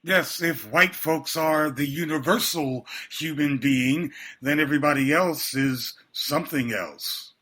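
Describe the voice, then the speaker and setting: A man hosting a radio interview, asking measured, deliberate questions. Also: on the high side, fairly bright tone, slightly rough.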